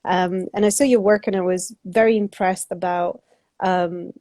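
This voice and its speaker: A woman hosting a casual interview over a video call, speaking politely in halting phrases. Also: fairly low pitch, fairly even intonation, warm tone, no echo, clean studio sound. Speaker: host, interviewer.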